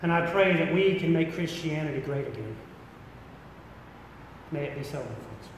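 Earnest American pastor preaching in echoing hall. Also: male voice, animated. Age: middle-aged. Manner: earnest, deliberate, emphatic repetition. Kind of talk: sermon. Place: large church interior. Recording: echoey hall with rumbling background noise.

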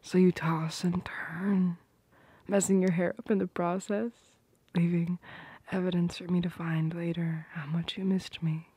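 A woman's voice: on the low side, little pitch movement, warm tone, dry recording. A woman playing a tender, protective girlfriend in a romantic ASMR roleplay, whispering intimately and affectionately, very close to the microphone. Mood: dreamy, affectionate. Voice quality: breathy, whispery. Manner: slow, intimate, affectionate murmuring. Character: tender, protective, doting girlfriend murmuring at bedtime.

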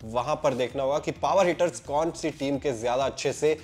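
A young man with a Hindi accent presenting a cricket pitch report in a brisk, emphatic, scripted way, filmed head and shoulders in an indoor room. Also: darkish tone. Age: young adult.

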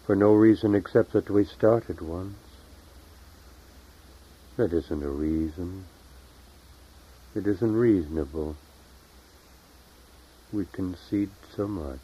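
A man reading his own poetry in a solemn, measured, deliberate way with long pauses, as a poet at a reading. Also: sits low, husky. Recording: dull lo-fi 1970s tape recording.

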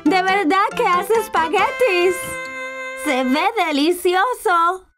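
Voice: very high-pitched, slow, over loud music. A performer voicing a curious, cheerful four-year-old boy in a children's cartoon, eager and wide-eyed.

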